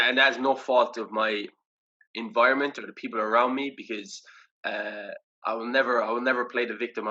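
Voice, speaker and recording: A man's voice: fairly low pitch, pushed and bright, muffled recording. A young Irish man in casual interview chat, reflective and loose, full of fillers and restarts. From Ireland. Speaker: podcast guest.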